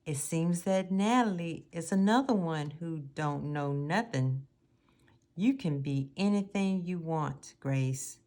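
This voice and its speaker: Storybook grandmother, voiced by a woman reader: elderly, wise, encouraging, low register. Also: slow, a little mellow. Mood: firm encouragement.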